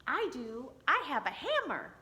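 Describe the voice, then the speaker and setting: A woman's voice: high-pitched, huge pitch swings, a little slow, bright, forceful tone, exaggerated voice. A woman playing an earnest little wooden puppet. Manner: eager, earnest.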